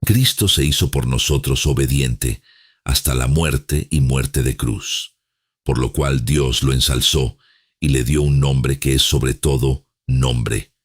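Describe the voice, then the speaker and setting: A man reading a short scripture acclamation slowly and solemnly. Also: rumbling low, husky. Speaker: reader.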